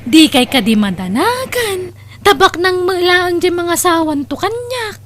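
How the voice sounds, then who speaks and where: A woman's voice: very high voice, big pitch swings, measured pace, fairly bright tone, slight background noise, exaggerated voice. A woman playing a bold, lovesick young woman who talks in a forward, dramatic way in a comedy radio-style drama. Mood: defensive.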